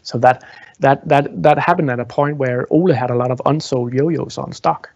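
A man in his thirties giving an informal guided tour, explaining in a halting way with repeated words. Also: medium pitch, darkish tone, no room sound, muffled recording. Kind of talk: informal guided tour talk, answering questions. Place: indoor museum exhibition room. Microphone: small clip-on lapel mic.

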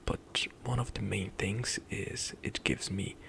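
Slow whispering young man, gravelly. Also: on the low side, fairly even intonation. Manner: soft whispered rambling with light laughs. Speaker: ASMR gaming streamer. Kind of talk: whispered ASMR gameplay commentary. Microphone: close studio mic, headset on.

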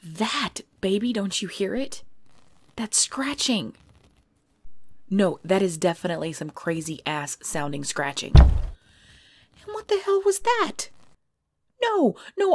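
A woman's voice: mid-range voice, very animated, takes it slowly, darkish tone. A woman playing a cute, easily frightened girlfriend at bedtime in an intimate ASMR-style audio roleplay. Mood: panicked. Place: dry close recording indoors.

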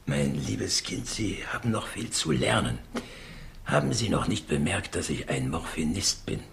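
An actor playing a frail, courteous old professor in a 1960s radio crime drama, speaking in a halting, patronizing way. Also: male voice, sits low, very animated, vocal fry, full character voice. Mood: weary, resigned. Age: older.

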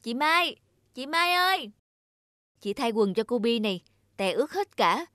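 A woman's voice: on the high side, very animated, unhurried, bright, forceful tone, a little hiss or hum, full character voice. A woman voicing a vain young mother in a cartoon, breezy and easily defensive. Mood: breezy, bossy. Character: vain young mother, self-absorbed, quick to bristle. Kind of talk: animated cartoon dialogue. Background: music.

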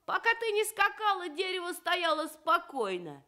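A woman's voice: very high-pitched, sing-song, moderate pace, pushed and bright, voice pushed up, full character voice. A woman voicing a grumpy, stubborn male badger in a children's radio tale, grumbling indignantly.

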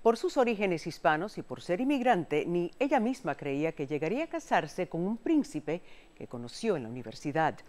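Female TV reporter, scripted narration, lower register, very varied intonation. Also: very animated, moderate pace, a little mellow. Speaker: TV news magazine presenter and reporter. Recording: music bed under studio voice. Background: background music.

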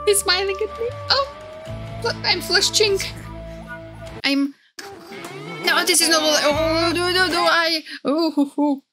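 Gushing high-voiced woman streamer. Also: a little slow, long pauses. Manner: gushing, flustered, repeats herself. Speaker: VTuber streamer reacting to game trailers. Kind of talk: excited live reaction commentary. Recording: game trailer music behind voice.

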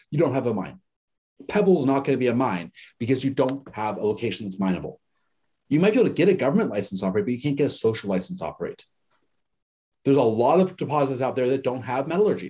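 A man teaching an online class in a lively, conversational way, telling stories and acting out the people he quotes. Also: mid-range voice. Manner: animated storyteller, acts out quoted people. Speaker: course instructor.

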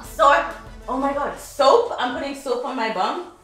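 Bright, animated woman, French as first language. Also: high-pitched, measured pace, roomy sound, far from the mic, faint music behind. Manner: animated, teasing, exclamatory. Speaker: co-host of a couple channel. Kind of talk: playful casual chat.

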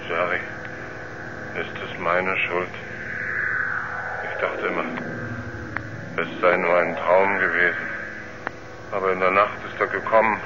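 An actor playing a tormented, doomed astronaut, speaking in halting, anguished pleas in a 1970s radio drama. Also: male voice, low voice, flat delivery. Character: tormented doomed male astronaut confessing dark horror. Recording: muffled old radio play, faint noise.

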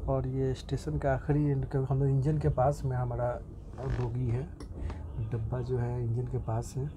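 Young man, travel vlogger, casual rambling commentary, mid-pitched voice, phone recording in a train, noticeable background noise. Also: completely flat delivery, moderate pace. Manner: chatty, thinking aloud with frequent fillers and restarts. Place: inside a train compartment at a station, by the window. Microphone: phone camera held near the window. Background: station and train ambience.

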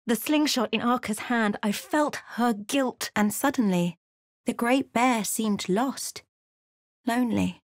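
A woman playing a wry, watchful adventurer in a video game, telling of events in a measured, dramatic way. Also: a little high, no echo, studio quality. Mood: measured, evocative. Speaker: game character voice actor. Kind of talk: video game voice lines, narration and barks.

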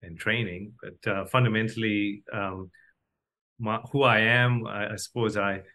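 Middle-aged male guest from Malaysia, talking reflectively in a bright, forceful, somewhat low voice. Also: fairly even intonation, unhurried. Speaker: podcast guest, doctor turned entrepreneur. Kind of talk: conversational interview answers.

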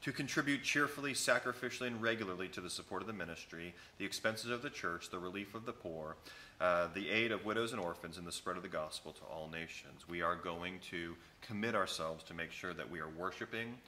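A man in his thirties, a pastor, explaining and reading a church covenant to new members in a relaxed, conversational way, recorded on a close camera in an empty sanctuary. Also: low voice, fairly quick, a little hiss or hum. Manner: conversational, explains each passage after reading it, many fillers. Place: empty church sanctuary with wooden pews, indoors. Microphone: camera at close range.

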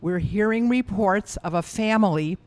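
A woman's voice: medium pitch, normal speed, a little mellow. Older lady hamming up a fake traffic report, chatty, ad-libs, handheld mic. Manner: chatty and ad-libbing. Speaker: visitor playing a traffic reporter. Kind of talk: playful mock traffic report. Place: fair news booth, green screen.

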